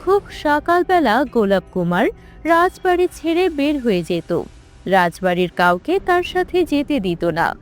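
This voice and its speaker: High-voiced woman narrating a folk tale. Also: sing-song, moderate pace, faint music behind.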